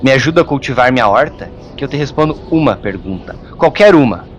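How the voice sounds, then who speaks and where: In this audio drama, a man plays a hairless hermit monk who is gruff and endlessly sarcastic. He grumbles and mocks in colloquial speech, at a medium pitch. Faint music sits behind the voice. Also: expressive, brisk, highs cut off, close to an everyday voice. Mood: sarcastic, grumbling.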